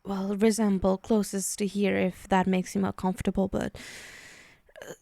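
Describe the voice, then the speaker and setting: A woman playing a possessive, teasing female demon in an ASMR roleplay, menacing yet indulgent, hushed and speaking directly to the listener. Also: mid-range voice, little pitch movement, normal speed, creaky, dry recording, clean studio sound, close to an everyday voice. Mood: smug, coaxing.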